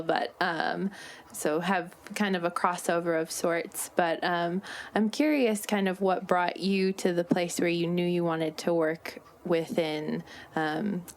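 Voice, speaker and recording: A young woman hosting a podcast, enthusiastic and hesitant with many fillers. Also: on the low side. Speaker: podcast host, therapist. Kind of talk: podcast intro and interview.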